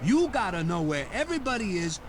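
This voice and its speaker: A man's voice: sits high, sing-song, brisk. A man playing an easygoing, seasoned air traffic controller, chatting in a folksy, dryly joking way as a tour guide in a computer game. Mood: chatty, wry. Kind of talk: scripted casual tour narration with radio calls.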